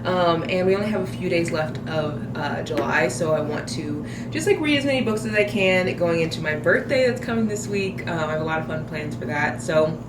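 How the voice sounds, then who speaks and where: A woman's voice: fairly low pitch, lively intonation, a little fast, hardly any pauses. A young American woman with a slightly crackly, tired voice, chatting casually and rambling in an informal book vlog. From US Midwest. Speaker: book vlogger. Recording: roomy echo, distant mic, clear background noise.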